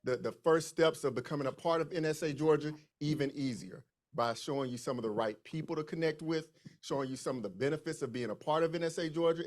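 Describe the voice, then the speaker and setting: A man's voice: medium pitch, darkish tone. A man hosting a speakers' association meeting, calling up new members and making announcements in an upbeat way. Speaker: event host.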